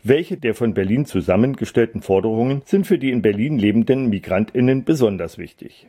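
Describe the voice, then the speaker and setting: A male radio host with a mellow, dark voice. He reads a scripted introduction and then asks interview questions at a measured, even pace. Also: mid-range voice, breathy edge. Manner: measured, read-aloud delivery.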